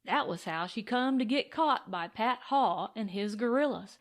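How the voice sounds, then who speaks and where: A woman voicing an excited, grateful cowboy gold prospector in an audiobook western, with folksy, boastful talk. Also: on the high side, measured pace.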